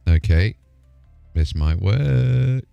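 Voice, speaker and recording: A man's voice: lowish voice, expressive, extremely slow, creaky, warm tone, highs cut off, faint music behind. A man chatting casually on a game stream in an informal, matey, sweary way while talking through technical fixes. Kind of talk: casual live stream chat, troubleshooting software.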